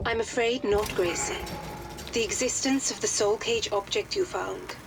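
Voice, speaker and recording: A woman plays an authoritative upper-class British lady in a video game. Her delivery is formal and measured at a medium pitch. Clear ambient noise sits behind the voice. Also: natural voice. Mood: explaining calmly. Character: composed upper-class English lady giving mission briefings.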